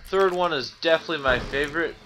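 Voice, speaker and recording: A man's voice: on the high side, fast talker, poor audio. A man giving casual, self-deprecating let's play commentary as he games. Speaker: gamer, video host. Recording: voice over loud game music. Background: game music and sounds.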